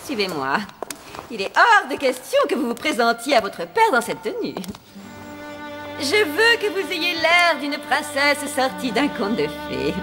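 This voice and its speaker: A woman's voice: high voice, huge pitch swings, a little slow, over music, heavily acted. A woman playing a scheming wicked queen stepmother in a cartoon fairy tale, gushing with theatrical false sweetness. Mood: bossy, gushing. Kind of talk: animated fairy tale acting.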